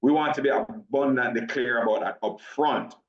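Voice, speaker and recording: A middle-aged man with a Jamaican accent giving a measured, emphatic sales presentation on a video call, repeating his key points. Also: mid-range voice. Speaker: presenter of a business opportunity. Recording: roomy dull video-call audio.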